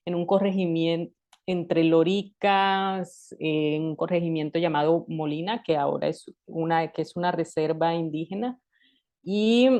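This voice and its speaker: Woman in her thirties from Colombia. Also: on the low side. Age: 30s. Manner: reflective, with restarts and soft laughs. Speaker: guest. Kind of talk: conversational interview.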